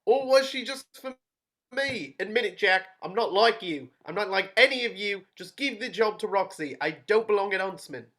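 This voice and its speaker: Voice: medium pitch, lively intonation, moderate pace, fairly bright tone, close to an everyday voice. A reader playing a blunt, defiant young working-class man in an amateur table read. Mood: angry. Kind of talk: amateur table read over video call.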